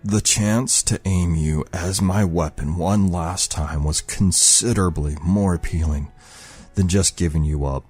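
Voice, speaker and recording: A man's voice: low voice, measured pace, a little mellow, breathy edge, faint music behind. A man playing a ruthless, bitter soldier and father figure in an audio drama, speaking with disdain and self-justification. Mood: cold, matter-of-fact. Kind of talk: audio fiction character acting.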